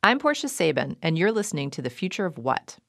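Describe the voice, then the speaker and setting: A woman hosting a radio show, reading introductions and announcements in an upbeat, clear way. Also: sits low, sing-song, fast talker, very noisy.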